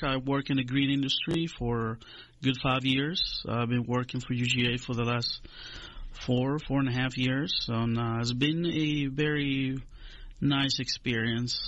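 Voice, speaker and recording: A man in his forties from Honduras, speaking English as a second language, answering interview questions in a measured, hesitant way with many fillers. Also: medium pitch, a bit bright, lo-fi sound. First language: Spanish. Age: 40s. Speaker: extension agent. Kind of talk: radio interview.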